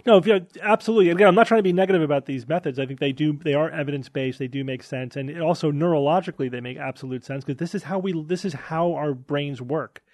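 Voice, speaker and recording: A man's voice: fairly high pitch, fast, hardly any pauses, darkish tone, dry recording. A man in casual group discussion, explaining points emphatically. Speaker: podcast host.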